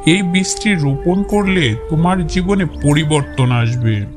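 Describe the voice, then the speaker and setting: Old hunched storybook man, played by a woman, lowered voice, solemn, clear music behind. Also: a little slow. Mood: solemn promise.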